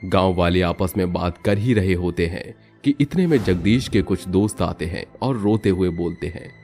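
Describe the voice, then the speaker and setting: A man narrating a scripted horror story for a cartoon storybook channel, steady and dramatic. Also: low voice, moderate pace, soft background music.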